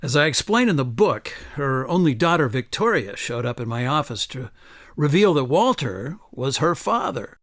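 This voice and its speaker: A man's voice: mid-range voice, sing-song, moderate pace, fairly bright tone, no room sound, studio quality. An actor playing an earnest, articulate male attorney turned author in a radio drama. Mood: explanatory.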